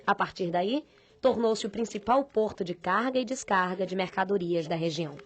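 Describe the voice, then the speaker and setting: A woman delivers scripted documentary narration in a measured, formal manner. Her voice sits at an ordinary middle pitch and stays composed. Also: soft background music.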